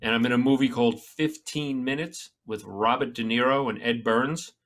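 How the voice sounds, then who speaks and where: Man, bright voice, mid pitch, blunt, crude, casual talk show chat. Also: fairly even intonation, normal speed. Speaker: podcast co-host, actor.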